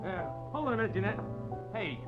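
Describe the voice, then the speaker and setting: An American actor playing a gruff, matter-of-fact bartender in a 1940s radio drama. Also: male voice, high voice, lively intonation, fast. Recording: dull vintage radio recording with music.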